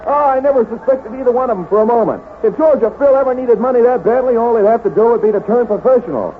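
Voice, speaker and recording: A thin, slightly hissy old radio recording carries a self-assured detective. His voice is high for a man, jaunty and breezy, delivered in 1940s radio drama style. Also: quick pace, narrow, tinny audio. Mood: confident explaining.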